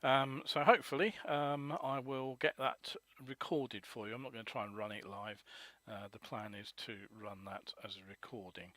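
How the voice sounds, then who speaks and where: A man hosting a live motorcycle news webcast, hoarse from a cold, rambling with many ums and uhs. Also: fairly low pitch, a bit bright, dry recording.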